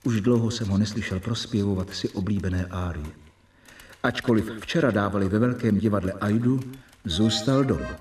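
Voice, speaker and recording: A man's voice: on the low side, darkish tone, lightly acted. An actor in a radio drama playing an earnest male doctor, narrating reflectively as if dictating a diary. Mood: reflective, troubled. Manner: reflective, dictating and correcting himself. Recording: echoey, distant from mic, faint music.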